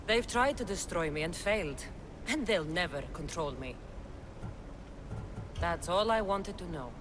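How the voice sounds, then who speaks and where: A woman's voice: fairly low pitch, lively intonation, a little slow, background noise. A woman acting a tough, guarded young Greek warrior in a video game, blunt and defiant in manner. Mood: defiant, questioning.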